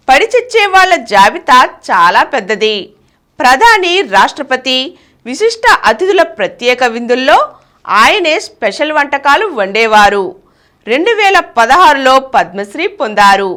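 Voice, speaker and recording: A woman reading a news bulletin, with measured newsreader delivery and emphasis on each headline. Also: sits high, big pitch swings, bright, forceful tone. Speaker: news reader.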